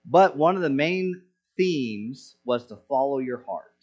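A man's voice: medium pitch, expressive, takes it slowly, a little mellow, breathy edge, lo-fi sound. A man preaching a sermon in an earnest way, asking rhetorical questions to the congregation. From Senegal. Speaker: pastor.

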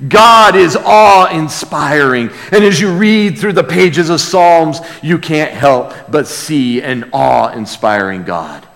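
Male preacher, slightly high voice, deliberate pace, emphatic sermon delivery with repetition for weight. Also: animated, gravelly, a bit bright. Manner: emphatic, with repeated key words and rhetorical questions. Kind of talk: expository sermon. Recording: hall with long reverb.

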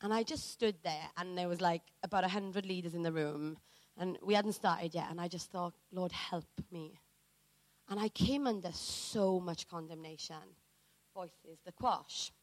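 A woman preacher from Wales telling a personal story in a sermon, animated and dramatic, acting out what people said. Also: lowish voice, a little slow, no room sound, low-quality recording.

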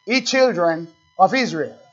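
High-voiced pastor preaching with fire. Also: male voice, lively intonation, soft background music. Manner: swings from low asides to loud preaching; reads scripture slowly and with emphasis. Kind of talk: fiery sermon. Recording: dull, lo-fi recording.

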